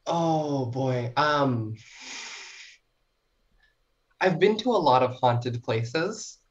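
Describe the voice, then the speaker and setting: A woman's voice: very deep voice, lively intonation, drawn-out words, dull audio. A young woman talking casually as a podcast guest, in a chatty, informal and self-reflective way. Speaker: podcast guest, short story writer. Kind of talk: casual interview chat.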